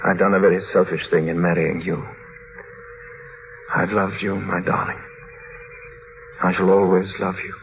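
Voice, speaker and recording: An actor in an old radio drama playing a tormented husband making a grave, halting, dramatic confession on a muffled, noisy vintage radio recording. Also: male voice, low voice, little pitch movement. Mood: despairing.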